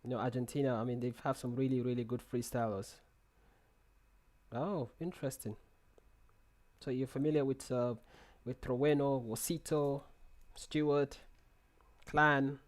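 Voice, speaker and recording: Young man, live stream host, casual chat, repeats himself, headset mic, small room, mid pitch. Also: steady tone, measured pace. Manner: chatty, repeats words, reads and answers chat. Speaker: forex trading streamer.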